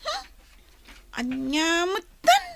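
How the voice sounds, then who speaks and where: A woman's voice: very high voice, huge pitch swings, drawn-out words, gravelly, a bit bright, a little hiss or hum, full character voice. A woman playing a pouty, demanding Ilocano schoolgirl in a comedy radio drama, with a whiny delivery. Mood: wheedling. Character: Mona, pouty spendthrift schoolgirl wheedling for money.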